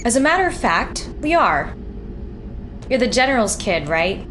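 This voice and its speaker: An actress playing a sassy, teasing ranger soldier in an audio drama. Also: female voice, a little high, very animated, normal speed, a bit bright, slight background noise.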